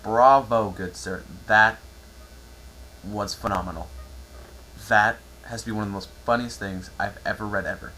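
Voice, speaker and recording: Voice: sits low, fairly even intonation, moderate pace, background noise. An amateur narrator giving a theatrical dramatic reading of fan fiction.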